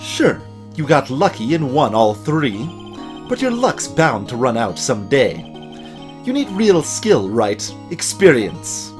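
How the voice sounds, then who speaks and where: A proud, scornful video game lawyer, acted out by a man, speaks in haughty, clipped phrases. The delivery is sardonic and defensive, with widely swinging pitch over music. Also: sing-song, slow pace. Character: proud, bitter male attorney, coldly defensive and scornful.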